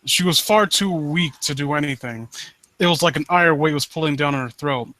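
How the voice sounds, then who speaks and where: Sarcastic man with a bright, forceful voice. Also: on the high side, fairly quick, dry recording, poor audio. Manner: sarcastic, mocking, sweary. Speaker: host reading and critiquing horror stories live. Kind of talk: casual riffing commentary with read-aloud passages.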